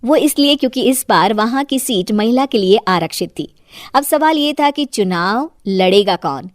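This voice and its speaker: Woman, story narrator, scripted moral tale, moderately high pitch, dry close sound. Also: expressive, moderate pace, no room sound.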